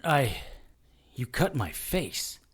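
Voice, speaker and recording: An actor playing a wisecracking paranormal detective in an audio drama, with a sardonic, hard-boiled, dramatic delivery. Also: male voice, medium pitch, big pitch swings, drawn-out words, husky, heavily acted. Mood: indignant. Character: wisecracking male paranormal detective narrating his own ordeal. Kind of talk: audio drama narration and dialogue.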